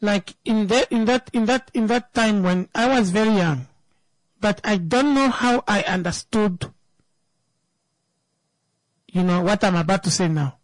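Woman, emphatic, repeats words for stress, opinion talk and storytelling, mid pitch, dry close sound. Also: measured pace, pauses often, no echo, low-quality recording.

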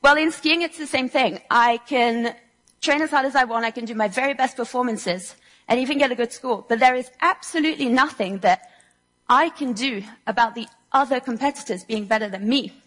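A woman's voice: on the high side, a little fast, a bit bright, in a large hall. A young woman from Switzerland giving a prepared talk to an audience in a room, telling personal stories with earnest delivery and a touch of dry humor. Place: lecture room before an audience.

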